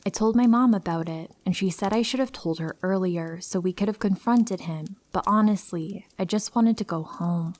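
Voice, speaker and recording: A woman narrating true horror stories in a calm, steady first-person reading. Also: mid-range voice, moderate pace, few pauses.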